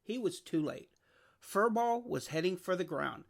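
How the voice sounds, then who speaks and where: Creaky-voiced reader of a children's storybook. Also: mid-range voice, animated, measured pace. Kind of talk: scripted children's story narration, read aloud.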